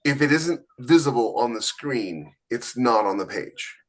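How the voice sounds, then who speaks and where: A man's voice: medium pitch, animated, a little slow. A man co-hosting a casual writers' podcast, talking emphatically and giving firm advice. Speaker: podcast co-host. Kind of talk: casual panel chat.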